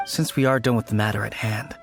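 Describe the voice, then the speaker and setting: An actor playing a gentle, earnest young man in a game, remembering his childhood friend. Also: fairly low pitch, steady tone, fast talker, creaky, faint music behind. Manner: earnest, warm-hearted. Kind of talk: game voice acting.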